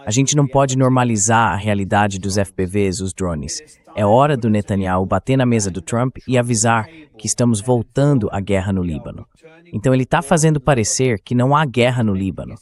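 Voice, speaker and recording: A man with a Brazilian Portuguese accent, a synthetic voice-over for a conversational news discussion. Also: on the low side.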